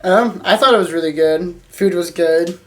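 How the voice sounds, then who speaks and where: Co-host voice, mid pitch, informal conversational podcast delivery. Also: normal speed. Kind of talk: casual chat.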